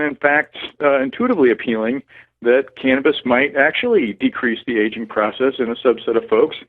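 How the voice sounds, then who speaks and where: A man, a cannabis researcher, answering listener questions as a guest over the phone in a measured, careful way. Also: medium pitch, no echo. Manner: measured, hedging, explanatory. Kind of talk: phone-in expert interview. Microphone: telephone. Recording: tinny phone line.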